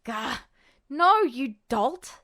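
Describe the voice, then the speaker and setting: Woman voicing an excitable, high-pitched brash teenage boy. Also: drawn-out words, heavily acted. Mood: exasperated, insistent. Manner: emphatic, blurting, insistent. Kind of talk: audiobook-style character dialogue.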